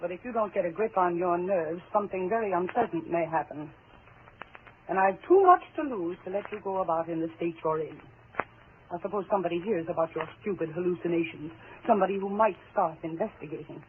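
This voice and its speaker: An actress playing a cold, domineering murderous wife in a 1940s radio thriller, with a cutting, sarcastic, imperious delivery. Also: female voice, fairly low pitch, fairly quick, narrow, tinny audio, close to an everyday voice. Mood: icy sarcasm. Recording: noisy, thin 1940s radio recording.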